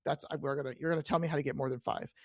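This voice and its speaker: A man's voice: on the high side, fairly even intonation, racing, gravelly, dry recording, clean studio sound. A man hosting a chatty interview podcast, joking and self-deprecating as he trips over his words and corrects himself. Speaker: podcast host.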